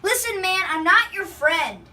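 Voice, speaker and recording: A woman voicing a grumpy, put-upon cartoon companion in a blunt, deadpan, complaining manner. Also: extremely high pitch, unhurried, full character voice. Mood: annoyed. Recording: distant microphone, clear background noise.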